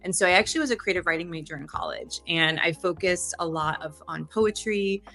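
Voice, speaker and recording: A woman in her thirties from Delaware, telling her career story in a casual, animated interview. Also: lowish voice, brisk. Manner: chatty, animated, loose restarts. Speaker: guest.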